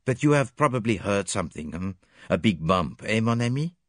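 A man voicing a precise, excitable Belgian male detective with a fussy French-tinged manner. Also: on the low side, a little fast, dry recording, studio quality. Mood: probing.

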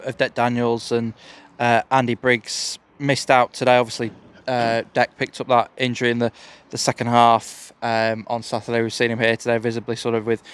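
A man's voice: medium pitch, clear, resonant tone. A man interviewing a football manager outdoors for the club, asking hesitant questions full of fillers. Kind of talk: post-match interview questions. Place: outdoors beside a football pitch.

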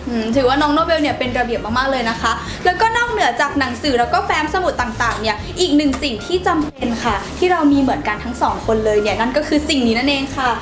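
A teenage high school girl hosting a student vlog in a chatty, polite presenter style. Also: animated, fast, hardly any pauses. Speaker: student host of a school channel. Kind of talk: scripted vlog presenting to camera. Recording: roomy echo, music behind. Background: background music.

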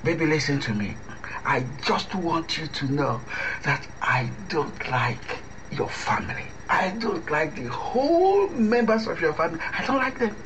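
A man's voice: fairly high pitch, very animated, a little slow. Yemi, a man playing a weary resentful lover. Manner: weary, half-whispered, sulky complaints. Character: tired, resentful lover Yemi, fed up with lies. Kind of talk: radio drama acting. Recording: clear background hiss.

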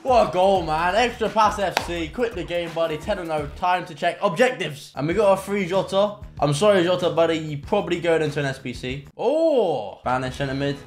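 A young man commentating on his own gameplay at home. His voice sits high and swings wildly, jumping from low muttering to sharp yelps. The room rings with echo, and faint game music plays underneath. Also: normal speed, hardly any pauses. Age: young adult. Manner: excitable, loud outbursts at goals, muttering between plays. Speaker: gaming content creator. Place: home gaming room indoors.

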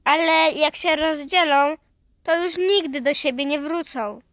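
A woman's voice: sits high, a little slow, a bit bright, no echo, clean studio sound. A woman playing an earnest, precocious, worried little granddaughter in a children's radio play.

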